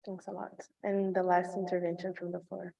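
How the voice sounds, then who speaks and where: A woman's voice: fairly low pitch, completely flat delivery, creaky, dark tone, low-quality recording. A woman moderating a conference plenary session, briefly thanking speakers and handing over the floor. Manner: brisk, brief handovers.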